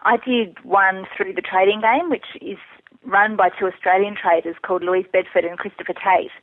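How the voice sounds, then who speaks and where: A woman's voice: medium pitch, steady tone, normal speed, dry recording. A woman chatting candidly as a guest in a casual interview, answering questions about herself. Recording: thin narrowband phone or internet call.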